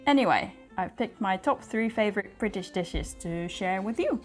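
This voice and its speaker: A woman's voice: mid-range voice, lively intonation, moderate pace. A woman from Japan with a Japanese accent, hosting a casual chat in English for language learners. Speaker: host. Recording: music behind the voice. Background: guitar music.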